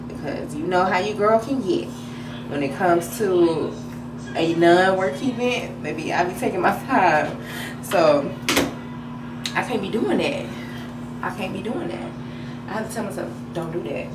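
A woman's voice: deep voice, heavy background noise. Laid-back woman chatting in an echoey bathroom. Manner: chatty, laid-back, slangy, talking while styling her hair. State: a little deeper than usual after a nap. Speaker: vlogger. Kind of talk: casual vlog chat. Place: hotel bathroom, indoors, small. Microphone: camera on the counter at arm's length. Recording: echoey bathroom, mic at a distance.

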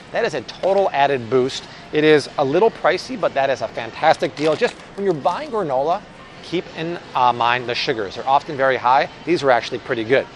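Male vlog host, thirties, American, enthusiastic casual reviewer, recorded in a supermarket with clear background noise. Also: on the high side. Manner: upbeat, enthusiastic, chatty. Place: large supermarket aisle, indoors. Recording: clear store ambience and hum.

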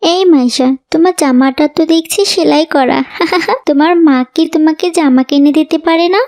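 Woman putting on a haughty, high-pitched schoolgirl bully. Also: normal speed, darkish tone. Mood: mocking. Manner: haughty, taunting. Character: arrogant, mocking rich schoolgirl bully. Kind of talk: Islamic moral cartoon dialogue.

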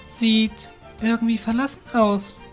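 A woman's voice: a little high, flat delivery, a little slow, faint music behind, natural voice. A woman acting a curious, frank young adventurer in a fantasy audio drama.

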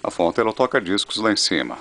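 Low-voiced man. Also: animated, fast talker, a little hiss or hum. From Brazil. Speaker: vintage audio seller presenting products. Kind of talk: product demonstration. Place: indoor room. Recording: music playing over the voice. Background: loud guitar music.

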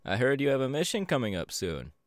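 Upbeat young male hero played by a man for anime audio roleplay: animated pitch swings, casual hesitant delivery, dry close sound. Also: a little fast, no echo. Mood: eager. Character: upbeat, easygoing young male superhero with electric powers. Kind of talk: audio roleplay, chatty anime character acting.

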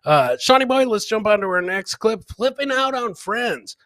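A middle-aged man hosts a casual comedy livestream in a bright, forceful voice that sits a little high. He jokes with viewers as he comments on clips and likes to repeat his phrases. The sound is close and dry, as through a headset. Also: expressive, moderate pace, no room sound. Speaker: comedy show host. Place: indoor home studio, webcam livestream.

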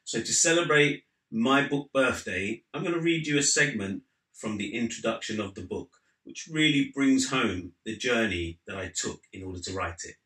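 A man in his forties, an author reading from his own book as measured literary narration in a living room. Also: medium pitch, animated, bright, forceful tone. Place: living room at home, seated in an armchair. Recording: slightly roomy indoor sound.

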